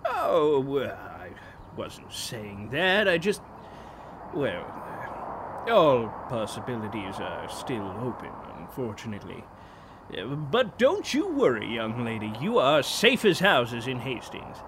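An actor playing an older, folksy and evasive small-town mayor in an audio drama, with an avuncular, hesitant manner. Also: male voice, mid-range voice, very animated, slow pace, background noise. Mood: nervous, reassuring.

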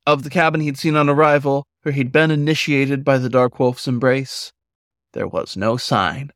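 Male narrator, scripted fiction reading, measured delivery, middle pitch, very little room sound. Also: fairly even intonation, no room sound, clean studio sound. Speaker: author reading own story.

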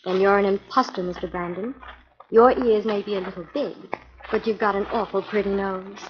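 An actress playing a playful, flirtatious assistant in a 1940s radio drama. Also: female voice, mid-range voice, steady tone, normal speed, close to an everyday voice. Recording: muffled 1940s radio recording.

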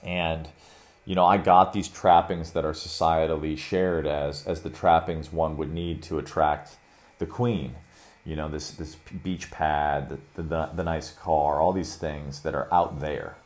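A young man from Chicago hosting a podcast, talking through a reflective monologue in an earnest, measured way with frequent fillers and restarts. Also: a bass voice, fairly even intonation. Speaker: podcast host.

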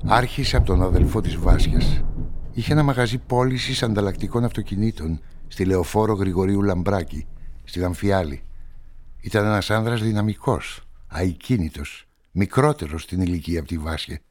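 Low-pitched male investigating journalist, played by an actor; measured narration and probing questions for radio crime drama. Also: a little mellow, slight background noise, close to an everyday voice. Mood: measured, reflective. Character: inquisitive male journalist investigating a murder, narrating his story.

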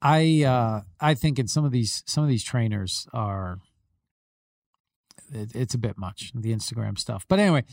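A man co-hosting a casual sports talk show, telling animated stories and restarting his sentences often. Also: medium pitch, moderate pace, vocal fry, no echo, clean studio sound. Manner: animated storytelling with many restarts. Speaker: podcast co-host, rules expert.

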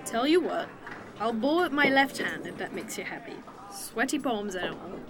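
A woman's voice: fairly high pitch, expressive, background noise, natural voice. An actress playing a snarky, teasing woman time traveler with a fake arm in an indie audio drama. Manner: dry, teasing, quick-witted.

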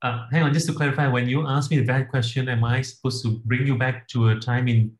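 A man's voice: mid-range voice, steady tone, a little fast. Man from Malaysia in a roomy space. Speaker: workshop participant. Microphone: video call. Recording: roomy video call audio.

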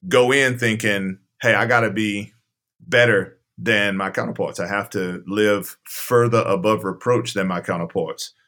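A man's voice: fairly low pitch, steady tone, measured pace, sharp tone. A middle-aged man from southwestern Virginia, speaking candidly and reflectively as an interview guest. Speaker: interview guest, camp director. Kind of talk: conversational interview.

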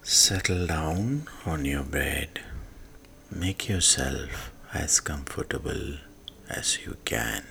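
A man guiding a sleep meditation in a hushed manner, leaving long pauses and letting phrase endings fade into breath. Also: a bass voice, vocal fry, slight background noise. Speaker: meditation guide. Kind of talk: slow guided sleep meditation. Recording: soft music behind the voice. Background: soft music.

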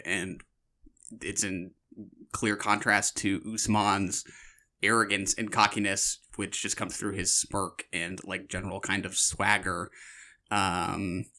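A man co-hosting a film podcast, talking analytically but casually, with tentative pauses as he picks his words. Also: sits low, sharp tone.

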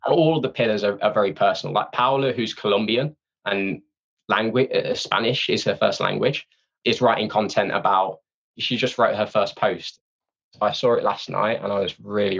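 A man's voice: on the low side, vocal fry, a bit bright, husky, lo-fi sound. A man speaking informally as an interview guest, candid and self-deprecating in a casual conversation. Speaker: podcast guest, sales training company representative.

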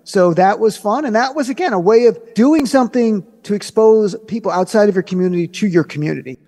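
A man from New tells a joking story from his childhood. He talks fast in a fairly high voice, with a long reverb behind him. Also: darkish tone. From New Jersey. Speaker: comedian. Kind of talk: interview clip.

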